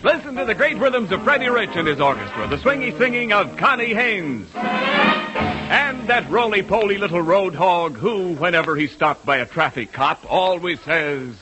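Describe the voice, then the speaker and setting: A man's voice: sits high, lively intonation, normal speed, loud music behind the voice, low-quality recording. An American man announcing a sponsor message and show introduction in 1940s radio style. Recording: old radio broadcast recording.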